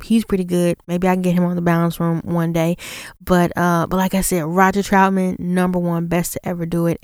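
A woman podcast host with a clear, smooth voice on the lower side. She speaks conversationally as she explains and advises, and the sound is close and dry. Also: a little mellow, no room sound. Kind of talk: casual podcast talk with advice.

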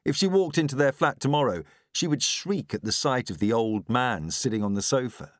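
Man narrating, lively pitch, dry sound. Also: normal speed, no echo. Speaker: audiobook narrator. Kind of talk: scripted narration of a novel.

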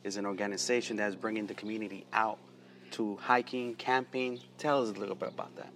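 Guy hosting an interview outside, lowish voice, chill and friendly, little background noise. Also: steady tone, a little fast, fairly bright tone. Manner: loose and friendly, repeats words. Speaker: interviewer, host. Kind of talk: casual outdoor interview. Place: outdoors.

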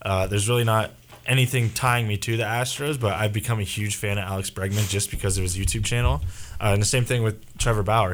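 A man with a fairly low voice leads a relaxed sports conversation, wandering from point to point and often trailing off into filler words before finding his thought again. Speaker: podcast host. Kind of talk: casual sports chat.

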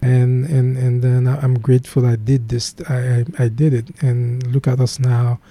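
A man's voice: medium pitch, little pitch movement, normal speed, dark tone. A young man with a Haitian accent, a guest speaking earnestly in an interview, often restarting his sentences. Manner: earnest, many restarts and fillers. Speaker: guest, program founder.

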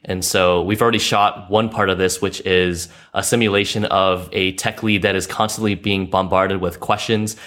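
Very deep-voiced man explaining. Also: fairly even intonation, fairly bright tone. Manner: measured, explanatory, with fillers. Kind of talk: casual chat.

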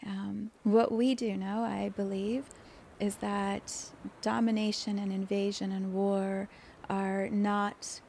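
A woman in her fifties talking alone in an earnest, meandering way, with frequent fillers, restarts and soft laughs. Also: medium pitch, creaky, a little hiss or hum. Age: 50s. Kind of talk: reflective solo talk.

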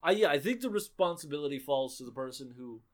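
A man's voice: a little high, animated, quick pace. A man co-hosting a casual gaming podcast, laid-back and profane, with laughing asides. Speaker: podcast co-host. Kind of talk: casual chat.

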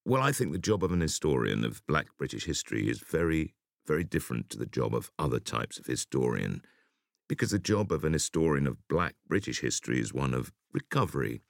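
A man reading a conversational essay for an audiobook in a deliberate, expressive storytelling manner. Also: a bass voice, vocal fry, no echo, studio quality. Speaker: audiobook reader.

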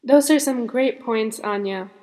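In a room with a long reverb, a teenage young woman reads her part as a podcast co-host. Her voice is slightly high, and the delivery is scripted. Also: measured pace, darkish tone. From born in India, moved to the United States before kindergarten.

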